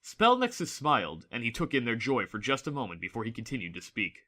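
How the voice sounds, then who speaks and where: A man's voice: medium pitch, wildly swooping pitch, a little fast, bright, forceful tone. A man narrating a fantasy story from a script in a dramatic audiobook style.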